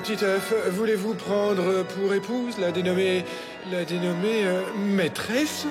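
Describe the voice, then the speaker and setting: An actor voicing a pompous, easily exasperated mayor in a French cartoon, with ceremonial, drawn-out delivery. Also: mid-range voice, steady tone, music in the background, close to an everyday voice.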